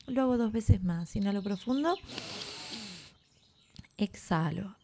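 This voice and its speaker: A woman guides a relaxation meditation as a yoga instructor, soft and breathy at phrase ends, giving soothing, unhurried instructions with long pauses. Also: medium pitch, animated, background noise.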